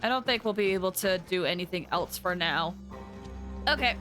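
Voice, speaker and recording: A woman's voice: on the low side, lively intonation, moderate pace, over music. A woman livestreaming a game, chatting casually and informally with viewers in a rambling way. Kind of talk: casual chat with viewers while gaming. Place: livestream voice with game music behind.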